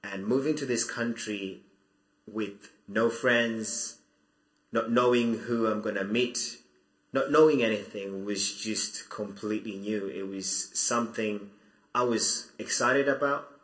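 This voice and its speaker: Young guy from zimbabwe, lowish voice, bright and a bit tense, stumbles and restarts a lot, echoey room. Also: slow. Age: 20s. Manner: thoughtful, hesitant with many restarts. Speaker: guest. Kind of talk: interview, telling his life story.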